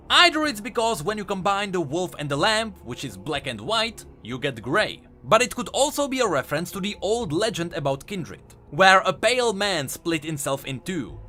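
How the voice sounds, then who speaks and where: The kind of bright, forceful male narrator heard on a gaming lore video, explaining emphatically over music. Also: on the high side. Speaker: host of a video game lore channel. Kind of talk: enthusiastic scripted commentary.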